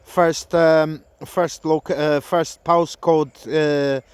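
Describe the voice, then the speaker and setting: Halting higher-pitched man on radio, clear voice. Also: little pitch movement, dry recording. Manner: hesitant, halting, repeats himself. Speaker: CB radio operator testing an antenna. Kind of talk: radio contact chat. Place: outdoors in a park.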